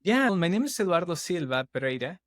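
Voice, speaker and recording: A man's voice: high-pitched, very animated, fast talker, no room sound, studio quality. Man. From Chile. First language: Spanish. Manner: earnest, explaining at length with restarts and fillers. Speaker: guest, startup CEO. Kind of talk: casual podcast interview.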